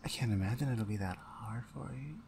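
A woman acting as a dominant female orc shaman in an ASMR roleplay, with a low voice. She is stern and deliberate, giving orders with a taunting, suggestive edge, over audible background noise. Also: creaky, warm tone. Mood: teasing, suggestive.

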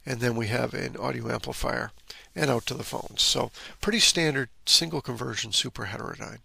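A man's voice: mid-range voice, fairly quick, gravelly, sharp tone, husky, no echo. A man presenting an informal, instructive technical walkthrough, explaining electronics step by step. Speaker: presenter of an electronics repair video.

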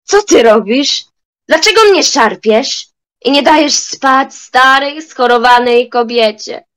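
A woman's voice: high voice, a bit bright. A young woman playing a grumpy, spiteful old grandmother in an amateur radio play, complaining and indignant. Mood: indignant protest. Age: young adult. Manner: querulous, indignant, drawn-out.

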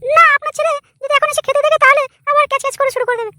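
A dutiful young daughter-in-law from a comedy cartoon. The voice is extremely high, squeaky and bright, racing along in a placating, pleading way. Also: female voice, fairly even intonation, rapid-fire, no room sound, studio quality. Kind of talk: comic cartoon dialogue.

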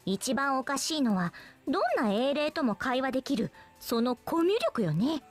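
High-pitched, teasing anime girl archer. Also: very animated, normal speed, soft background music. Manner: coy, teasing, theatrical. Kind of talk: Japanese anime game voice acting.

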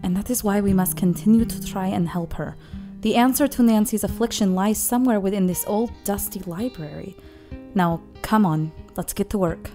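Girl acting a serious determined occult chick, formal and theatrical, soft dark tone, faint music, radio play. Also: mid-range voice, normal speed, natural voice. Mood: grave, determined. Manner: formal, grave, theatrical diction. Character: solemn, determined young woman versed in occult. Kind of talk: audio drama acting.

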